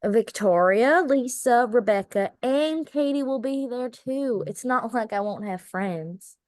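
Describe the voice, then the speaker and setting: A woman acting a breezy, higher-voiced beauty queen. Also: expressive, a little slow, a little mellow, dry recording, studio quality. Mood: exasperated, breezy. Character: self-assured grown daughter, a breezy beauty pageant contestant. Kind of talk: screenplay table read acting.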